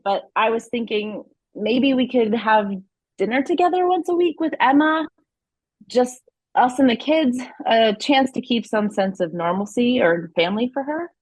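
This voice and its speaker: A woman playing a gentle, tentative mother and soon-to-be ex-wife in an audio play, speaking haltingly. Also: a little high, no echo, close to an everyday voice, low-quality recording. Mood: tentative, warm.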